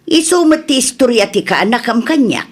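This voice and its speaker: Woman doing a nosy village lady, fairly high voice, ilocano accent, chatty comedy radio drama. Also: animated, moderate pace. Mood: prying, opinionated.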